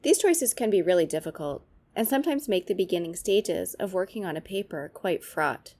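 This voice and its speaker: Animated, slightly low-voiced woman presenter. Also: normal speed. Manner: instructive, addresses the viewer directly. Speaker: presenter of writing tips. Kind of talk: scripted instructional narration.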